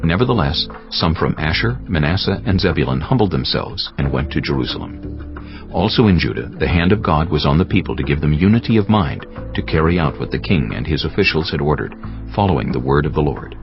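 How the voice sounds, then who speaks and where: A man narrating scripture from a script in a solemn, measured storytelling manner, as for a dramatized audio Bible. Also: rumbling low, animated. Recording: slightly muffled, music underneath.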